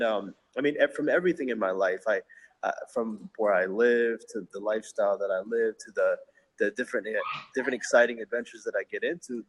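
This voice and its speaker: A man speaking as a guest on a live video chat, giving an energetic, emphatic motivational talk with a few halting restarts. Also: medium pitch, a little hiss or hum, rough recording. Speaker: guest, inspirational hip hop artist.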